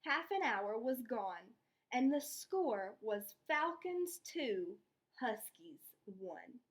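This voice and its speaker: A woman teacher reading a story aloud and explaining it in a deliberate, step-by-step way. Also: a little high, big pitch swings. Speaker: elementary school teacher.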